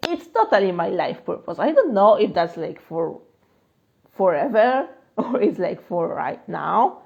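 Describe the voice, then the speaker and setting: Woman, polish accent, life coach, unhurried, dull lo-fi phone audio. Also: mid-range voice, creaky, darkish tone. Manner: chatty, many fillers, laughs often. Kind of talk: live-stream Q&A.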